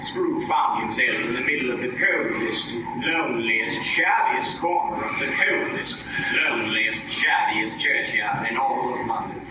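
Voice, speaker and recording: A man's voice: medium pitch, unhurried, few pauses, very noisy. A man narrating a scripted radio play in a dramatic storytelling style. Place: live stage performance, far from the mic. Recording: echoey distant live recording, music behind.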